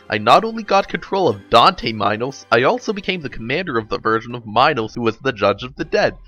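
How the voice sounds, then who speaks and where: A man's voice: mid-range voice, pushed and bright, dull audio. A man voicing a sardonic, blunt young woman who lectures knowingly in a dramatic storybook reading. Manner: wry, lecturing, profane.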